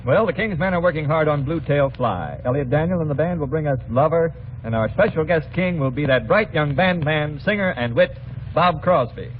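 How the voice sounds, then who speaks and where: A thin, band-limited vintage radio recording with faint noise carries this American man's voice. He has a slightly high pitch and hosts a variety show with scripted banter in a 1940s radio manner. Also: lively intonation, moderate pace. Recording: old radio broadcast, narrow band, noisy.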